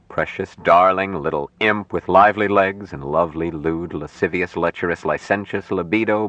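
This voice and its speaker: A man voicing an earnest, tender young man raised apart from humans, speaking slowly and formally in an audiobook story. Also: sits low, fairly bright tone, no echo, dull audio. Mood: tender, amorous. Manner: slow, deliberate, formal phrasing.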